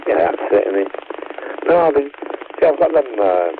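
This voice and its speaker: A man's voice: mid-range voice, very animated, normal speed, narrow, tinny audio. A man with a Northern English accent chatting casually over CB radio in a blunt, sweary, colloquial way. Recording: noisy, band-limited CB radio transmission.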